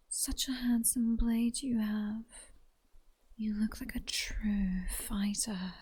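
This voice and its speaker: A woman with a British accent playing a seductive, mocking vampire queen in a slow, breathy, teasing whisper for an ASMR roleplay. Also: medium pitch, warm tone, exaggerated voice. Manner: slow, teasing, sultry, condescending. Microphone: close-up ASMR microphone.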